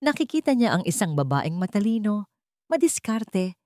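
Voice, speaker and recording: A woman's voice: mid-range voice, very animated, moderate pace, dark tone, dry recording, studio quality. A woman giving dramatic scripted narration of an audiobook story.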